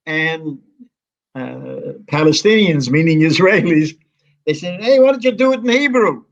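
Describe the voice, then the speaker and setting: A man in his nineties, an interview guest from the United States, reminiscing in a halting, rambling way full of restarts and fillers. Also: fairly high pitch, lively intonation, vocal fry, highs cut off. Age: 90s. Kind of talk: conversational reminiscing.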